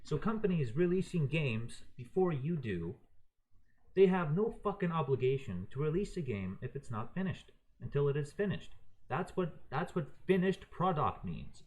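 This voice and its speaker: A man's voice: on the high side, sing-song, moderate pace, a little mellow, low-quality recording. A man raised in Croatia giving an unscripted, heated and profane opinion rant, talking to his viewers in an exasperated way. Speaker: video ranter.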